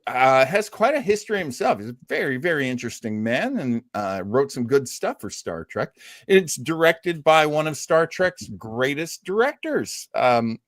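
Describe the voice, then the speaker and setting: A man hosting a casual podcast review discussion, talking in a thoughtful, halting way. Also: mid-range voice, no room sound, studio quality. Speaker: podcast host.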